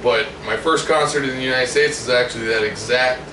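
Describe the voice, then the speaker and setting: A man's voice: mid-range voice, fairly even intonation, fairly quick. A man who grew up in Germany, talking in a laid-back way and swearing casually. He sits well back from the mic in a reverberant room, with constant background noise under his voice. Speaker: band member. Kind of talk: casual chat. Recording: roomy, distant mic with noise.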